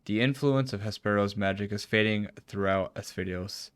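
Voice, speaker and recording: A man's voice: on the low side, normal speed, clear, resonant tone, dry recording, studio quality. A man streaming a video game, reading its formal cutscene dialogue aloud with some stumbles and casual reactions.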